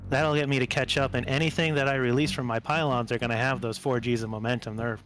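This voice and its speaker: A seasoned, cocky combat pilot, played by a man in a tabletop game. His voice sits at an average male pitch and he speaks with deliberate, matter-of-fact determination. Soft music plays behind him. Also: steady tone, close to an everyday voice. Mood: confident. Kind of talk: tabletop roleplay dialogue.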